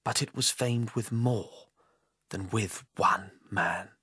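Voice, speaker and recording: A man playing a bitter, scheming Roman nobleman in classical Shakespearean style, declamatory, deliberate and persuasive. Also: lowish voice, pushed and bright, husky, no room sound, clean studio sound, heavily acted. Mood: scornful, persuasive. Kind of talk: classical Shakespearean stage acting.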